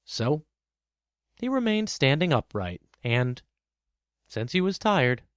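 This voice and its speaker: A man narrating a children's tale as a podcast storyteller, with expressive, measured and dramatic phrasing. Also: fairly high pitch, smooth, no echo, studio quality.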